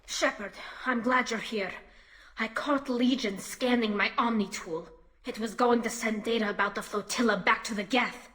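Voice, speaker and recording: Earnest, higher-pitched crewmate. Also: female voice, moderate pace, sharp tone, roomy sound, in a large hall. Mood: worried. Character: earnest, challenging female crewmate aboard a starship. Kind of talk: video game voice acting.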